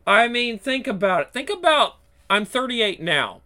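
Casting: man in his 30s, channel host, high bright voice, blunt, swears a lot, rambling chat. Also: big pitch swings, measured pace. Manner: blunt, profane, rambling with many restarts. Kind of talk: casual chat.

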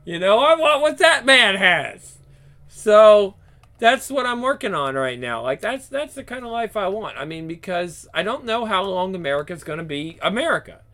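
Guy in his thirties, high bright voice, blunt and sweary, rambles on. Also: sing-song, moderate pace. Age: 30s. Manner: blunt, profane, rambling with many restarts. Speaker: YouTube channel host. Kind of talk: casual chat.

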